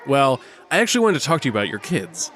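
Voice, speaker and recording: A commanding celebrity hero acted by a man in an audio drama. He is decisive and brisk, pressing his plans on people in a mid-range voice. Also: mid-range voice, very animated, a bit bright, soft background music, a faint crowd. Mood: brisk, persuasive. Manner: decisive, insistent, talks over objections.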